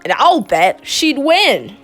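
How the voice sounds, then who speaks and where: A woman reads a picture book aloud, gently and expressively. Her voice is high and lively, her pace unhurried, and soft music plays beneath her. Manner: slow, expressive, gentle reading. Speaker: storybook reader.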